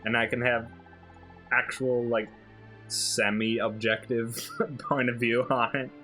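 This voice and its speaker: A man's voice: medium pitch, flat delivery, slow, bright, forceful tone. A man co-hosting a casual let's play, enthusiastic and giggly. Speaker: gaming video co-host. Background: game music.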